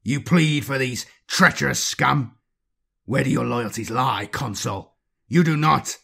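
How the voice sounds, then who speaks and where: A man's voice: on the low side, unhurried, fairly bright tone. A man doing a ruthless suspicious inquisitor. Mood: accusing.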